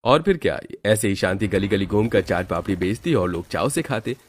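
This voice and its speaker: A man's voice: lowish voice, expressive, brisk. A man narrating a scripted moral cartoon story as its storyteller. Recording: music bed behind the voice.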